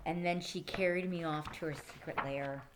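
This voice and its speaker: A woman's voice: deep voice, steady tone, normal speed, background noise, close to an everyday voice. A woman voicing a small furry male beast in a picture book, aggrieved, emphatic and theatrical. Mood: indignant.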